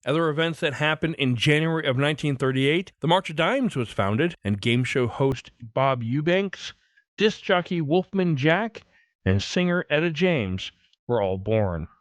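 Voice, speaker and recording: A man's voice: fairly high pitch, expressive, a little slow, dry recording. A man hosting a podcast with scripted narration. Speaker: podcast host.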